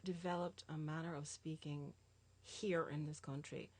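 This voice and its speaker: A woman art teacher with a Jamaican American accent, chatting as a guest in an animated way with lots of filler ums. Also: low voice, moderate pace. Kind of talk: casual conversation.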